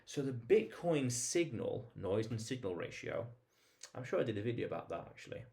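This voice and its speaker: A man's voice: mid-range voice, big pitch swings, moderate pace, creaky, a little mellow. A man hosting a vlog, talking informally to viewers in an explainer monologue, emphatic, repeating phrases and posing rhetorical questions. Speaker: vlog host.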